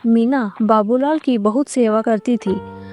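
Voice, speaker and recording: A woman's voice: a little high, a little slow, dark tone, faint music behind. A woman narrating a scripted cartoon story as a storyteller.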